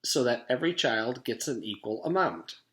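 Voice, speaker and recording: Man playing a patient, animated lady schoolteacher. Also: medium pitch, moderate pace, voice pushed up, natural voice. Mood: patient, cheerful. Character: nice, patient woman schoolteacher.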